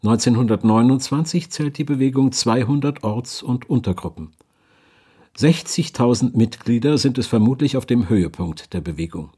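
Guy reading facts for a documentary, husky medium voice. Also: medium pitch, moderate pace, dark tone. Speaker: second documentary narrator. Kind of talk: scripted factual narration.

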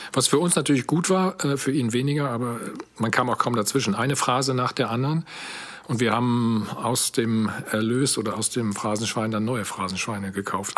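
A man's voice: medium pitch, fairly bright tone, close to an everyday voice. A man playing a football pundit and former player, giving a casual, matter-of-fact documentary interview.

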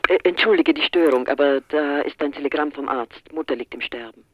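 An actor in a radio play voicing a practical, brisk spouse through a telephone filter. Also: male voice, fairly high pitch, dry recording. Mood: subdued, matter-of-fact. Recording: dull band-limited telephone sound, dry.

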